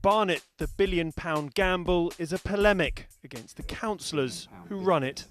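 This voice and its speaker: A British man delivers a scripted news voice-over as a reporter. His voice is a bit high for a man, with some music around it. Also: normal speed, a bit bright.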